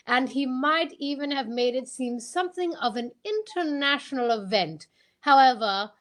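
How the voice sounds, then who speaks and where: Woman playing a gracious lady author, higher-pitched. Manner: formal, measured, read aloud. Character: gracious, formal English lady mystery author. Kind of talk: old-time radio address, amateur reading.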